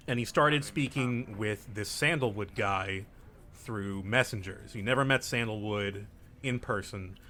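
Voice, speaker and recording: A man's voice: lowish voice, bright, forceful tone, a little hiss or hum. A young man hosting a group let's play, casually recapping the story and explaining game mechanics with jokes. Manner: laid-back, joking, explains game mechanics. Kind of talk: casual group gameplay chat. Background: game music.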